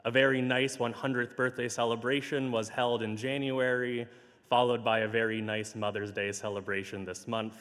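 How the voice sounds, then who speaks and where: A hoarse man serving as minister, reading pastoral care notes and leading a prayer in a slow, measured, gentle way, recorded for a church livestream. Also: medium pitch, flat delivery. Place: large church sanctuary. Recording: livestream with long hall reverb.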